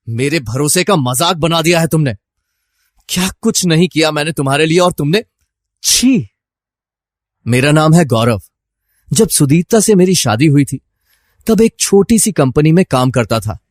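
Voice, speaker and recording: A man's voice: a little high, dry recording, clean studio sound. A man acting as a troubled, suspicious husband who narrates his own story in a dramatized first-person style. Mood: angry, betrayed, then nostalgic.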